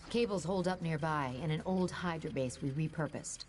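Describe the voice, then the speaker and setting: A woman's voice: low voice, a little fast, darkish tone, slight background noise, lightly acted. A woman playing a defiant, guarded resistance superheroine in a video game cutscene.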